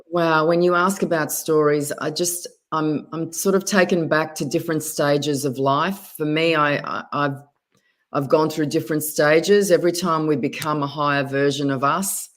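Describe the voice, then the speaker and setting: A woman with an Australian accent speaks as an interview guest, her voice sitting notably low. She tells her story in a hesitant way, often pausing and restarting her words. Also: fairly even intonation. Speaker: guest, spiritual advisor.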